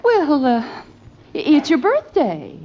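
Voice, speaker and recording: A woman playing a gentle, tactful middle-aged mother in a vintage radio sitcom, speaking in a placating way. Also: sits high, huge pitch swings, slow pace, slight background noise, exaggerated voice. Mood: hesitant. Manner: hesitant, placating. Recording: muffled old radio recording.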